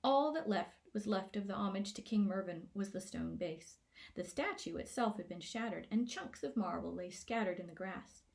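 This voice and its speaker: Animated woman reading a storybook. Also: medium pitch, normal speed, creaky. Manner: playful, expressive. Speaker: author reading own book. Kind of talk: storybook narration, scripted.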